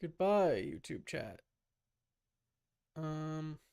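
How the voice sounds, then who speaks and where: A man's voice: on the high side, big pitch swings, extremely slow, gravelly, a little mellow, clean tone. A man livestreaming a game with casual, informal commentary, muttering to himself and his chat. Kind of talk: casual live gaming commentary.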